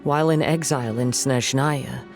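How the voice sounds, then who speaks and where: An actor playing a calm, gentle young wandering swordsman in a video game, with measured, formal, reflective delivery. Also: mid-range voice, gravelly, over music, natural voice. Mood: solemn, regretful. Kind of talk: scripted video game dialogue.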